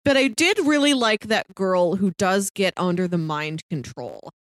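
A woman's voice: medium pitch, animated, a little slow, no room sound, clean studio sound. A woman co-hosting a podcast, chatting casually about films in a conversational way with light laughter in her speech. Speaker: podcast co-host. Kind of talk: casual movie discussion.

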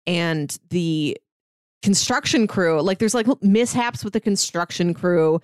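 A woman co-hosting a podcast, chatting casually and retelling a story in an expressive, conversational way. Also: on the low side, a little slow, clean tone, dry recording, clean studio sound. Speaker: podcast co-host.

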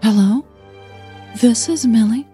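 A woman's voice: a little high, slow pace, mellow, faint music behind, pitched above the performer's own voice. A woman voicing a nervous, flustered female stage performer trying to sound casual in an audio drama. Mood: guarded, surprised. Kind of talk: audio drama storytelling dialogue.

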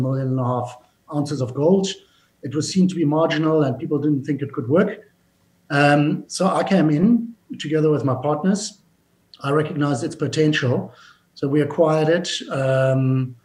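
A businesslike man tells his story as an interview guest. He often starts a phrase over before finishing it, and his pitch sits in the middle range. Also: darkish tone, low-quality recording. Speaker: mining company executive, guest. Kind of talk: interview answers, recounting his career.

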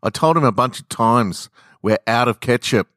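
A man voicing a young female fast-food worker in a story, with a firm, overpolite, dismissive customer-service tone. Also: medium pitch, animated, fairly bright tone, no room sound, clean studio sound, voice pushed up, close to an everyday voice.

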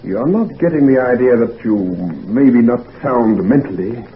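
An actor playing a concerned, frank physician friend, chummy and old-fashioned, in a 1940s radio drama. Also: male voice, mid-range voice, expressive, normal speed, natural voice. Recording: noisy, tinny 1940s radio recording.

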